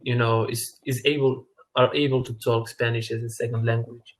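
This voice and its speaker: A man's voice: medium pitch, flat delivery, normal speed, rough recording. A Moroccan man, a native Arabic speaker practicing English on a video call, friendly and often stopping to search for words and correct himself. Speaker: English learner. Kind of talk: casual video-call conversation.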